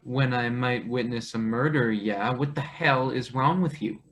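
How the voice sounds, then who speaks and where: A man's voice: medium pitch, a little slow, a bit bright, a little hiss or hum, lightly acted. A man playing a cheeky, indignant young intern in a satirical play reading, sarcastic and protesting. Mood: uneasy, wry. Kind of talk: live table read of satirical stage play.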